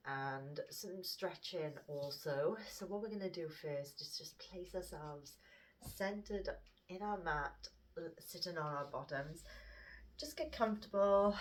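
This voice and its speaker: A woman teaching a guided yoga class, speaking in calm, gentle cues that sometimes drop to a whisper, her nose stuffy from hay fever. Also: fairly low pitch, distant mic. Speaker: yoga instructor.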